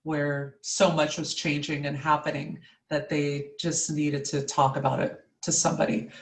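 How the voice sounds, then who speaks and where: Deep-voiced woman host, echoey and distant. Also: level tone, moderate pace, a little hiss or hum. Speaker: interview host and practitioner. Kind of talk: video call interview.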